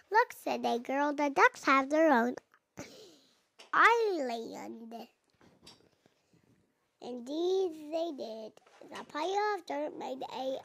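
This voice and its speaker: Slow, high-pitched little girl reading aloud. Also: creaky, a bit bright. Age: young child, four and a half. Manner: halting, sounding out words, repeating. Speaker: child reader. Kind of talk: reading a picture book aloud.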